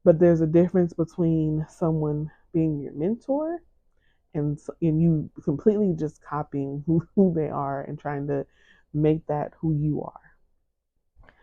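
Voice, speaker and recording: A woman podcast host giving a gentle, encouraging faith-based talk in a soft, low, soothing voice. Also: unhurried, dry recording, phone-quality sound.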